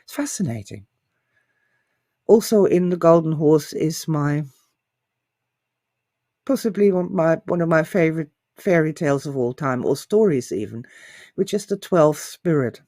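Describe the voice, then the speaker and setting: A woman's voice: low-pitched, animated, unhurried, long pauses, dark tone, no echo, clean studio sound. A middle-aged woman giving an informal, enthusiastic talk as an author and teacher, gushing and repeating phrases for emphasis.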